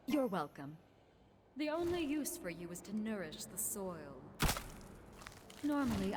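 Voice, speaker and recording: A graceful goddess for a video game, slow lilting delivery, slyly menacing, noisy background. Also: female voice, mid-range voice. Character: poised goddess of spring and underworld, graceful, regal, slyly menacing. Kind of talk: video game character voice lines.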